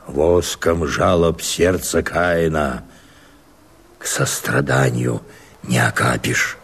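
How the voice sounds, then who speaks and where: A bitter old Cossack elder in a radio verse drama. His voice is extremely low and husky. He declaims very slowly, weighing each phrase with defiance. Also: full character voice. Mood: bitter lament.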